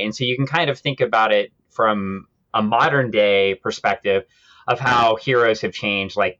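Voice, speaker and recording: A man's voice: on the low side, bright, forceful tone, muffled recording. A man co-hosting a podcast, explaining comics history in a casual, conversational way. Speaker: podcast co-host.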